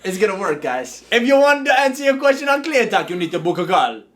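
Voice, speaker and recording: A man faking a Jamaican sing-song pitchman. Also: big pitch swings, fast. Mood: playful. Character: man faking a Jamaican patois sales jingle.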